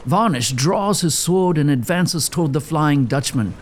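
A man's voice: a little high, lively intonation, a little slow, slight background noise. A man giving dramatic scripted narration as the storyteller of an audio drama.

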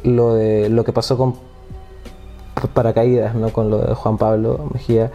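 Mellow-voiced man chatting hesitantly. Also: medium pitch, flat delivery, a little slow, dull audio, soft background music. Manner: hesitant, many restarts and "¿no?" tags. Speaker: host. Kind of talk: casual conversation about poetry.

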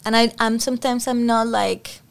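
A lively woman, talking in English as a guest on a podcast. Her voice sits a little on the high side, and she chats in a loose, expressive way. She laughs easily and keeps reaching for "like" and "you know". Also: normal speed, creaky, smooth. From Cuba. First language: Spanish. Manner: chatty and expressive, laughs often, many "like" and "you know" fillers. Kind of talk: casual conversation.

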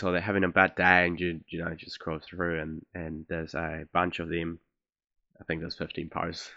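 A young man from Chile talks through a solo podcast episode, hesitant and self-deprecating, with an accent that mixes American and Australian. His voice sits very low but sounds bright and forceful. The sound is dry and dull, like a lo-fi home setup. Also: dry recording. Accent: blend of American and Australian. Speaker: podcast host. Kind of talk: casual solo podcast monologue.

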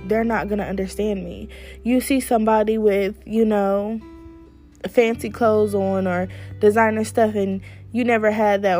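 Host: young woman, a bit hoarse, mellow tone, loose chatty delivery over soft guitar music. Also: mid-range voice. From United States. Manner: chatty, candid, lots of fillers. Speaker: podcast host. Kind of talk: casual solo talk.

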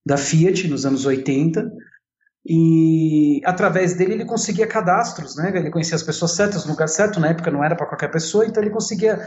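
A man in his forties with a São Paulo accent speaks in a slightly high, mellow voice. He chats informally as an interview guest, looping back to rephrase as he recounts his life. The sound is roomy and a little dull. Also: a little fast. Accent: São Paulo Brazilian. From Italy and São Paulo, Brazil. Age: 40s. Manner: talkative, informal, repeats and rephrases himself. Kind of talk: casual autobiographical storytelling.

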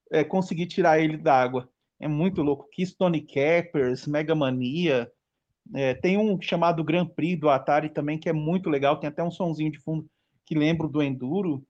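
A man's voice: fairly high pitch, a little mellow. Man in his thirties with dull, lo-fi sound. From Brazil. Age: 30s. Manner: nostalgic, rambling listing of old games. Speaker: podcast participant. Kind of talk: casual chat.

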